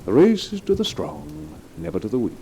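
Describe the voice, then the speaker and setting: An actor playing a cold, smug killer with a stolen identity in an old radio drama, smooth and coolly menacing. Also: male voice, fairly high pitch, sing-song, a little fast, mellow, slight background noise, exaggerated voice. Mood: cold, gloating. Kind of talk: 1950s radio drama acting. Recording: old radio recording under rain and thunder.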